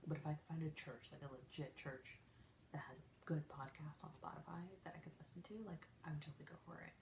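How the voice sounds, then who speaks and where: A young woman with a low voice talks through a casual solo podcast, rambling and correcting herself as she goes. Her delivery is soft, often close to a whisper. The room around her rings with echo and carries a faint hiss. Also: fairly even intonation, quick pace, gravelly. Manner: rambling, self-correcting, chatty. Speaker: podcast host. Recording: echoey room with faint noise.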